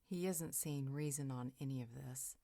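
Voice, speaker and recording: A woman playing a calm, motherly, protective character in a roleplay audio drama, measured and inquisitive. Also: very deep voice. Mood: thoughtful, concerned.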